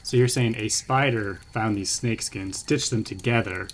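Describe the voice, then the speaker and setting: A man's voice: on the low side, normal speed, a little hiss or hum. A man co-hosting a comedy podcast, playfully narrating scene cuts in an improvised story.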